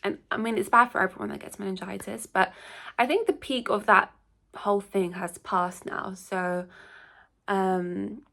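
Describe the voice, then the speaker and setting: A woman's voice: medium pitch, creaky, fairly bright tone. A young woman, congested from a cold, chatting casually and rambling through a get-ready-with-me vlog at home. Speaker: beauty vlogger. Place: at home, shared house.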